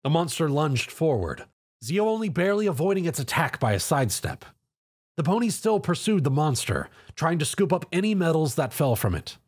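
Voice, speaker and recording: A man's voice: fairly high pitch, sing-song, normal speed, darkish tone, breathy edge. A man reading scripted narration of an action story.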